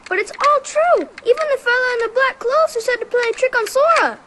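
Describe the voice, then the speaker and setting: A woman voicing a naive, earnest little wooden puppet boy in an animated game, childlike and hesitant. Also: very high-pitched, fairly quick. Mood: earnest, defensive. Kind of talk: animated game character acting. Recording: loud music behind the voice.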